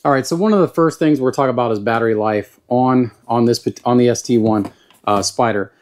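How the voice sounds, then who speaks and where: A man with a Southern US accent giving a casual, plain-spoken product review in an unhurried, folksy way. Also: medium pitch, darkish tone. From Southern United States. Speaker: YouTube gear reviewer.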